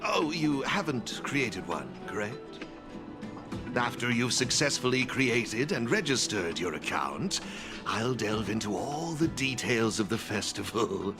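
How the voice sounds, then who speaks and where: An actor playing a flamboyant masked gentleman in a video game, formal, courteous and theatrical, like a showman. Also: medium pitch, big pitch swings, measured pace. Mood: playful, assuring. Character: flamboyant masked gentleman, smooth event sponsor and gaming enthusiast. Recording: background music throughout.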